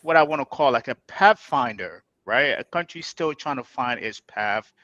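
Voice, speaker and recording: A man speaking as a panel guest, halting and searching for words in a casual discussion. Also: medium pitch, lively intonation, pushed and bright, no room sound. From Liberia. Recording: dry, dull lo-fi sound.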